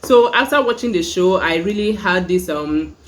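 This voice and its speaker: A woman's voice: fairly low pitch, expressive, moderate pace. A young Nigerian woman with a Nigerian accent, chatting casually in a review vlog, full of "like" and "you know" fillers. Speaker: YouTube reviewer.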